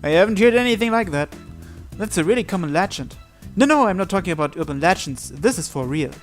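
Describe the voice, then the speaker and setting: Male game host, fairly high voice, dramatic read-aloud of visual novel text with casual asides, music underneath. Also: expressive, fast. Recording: music behind the voice.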